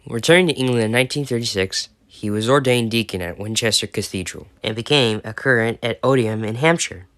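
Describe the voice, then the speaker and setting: A man's voice: medium pitch, brisk, hardly any pauses, a bit bright, no room sound. American man reading a bedtime story. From United States. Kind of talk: storytelling and chat.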